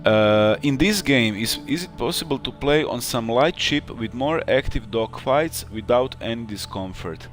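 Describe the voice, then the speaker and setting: Slow-talking man game developer. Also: mid-range voice, animated. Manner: thoughtful, many fillers. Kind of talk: casual live stream chat.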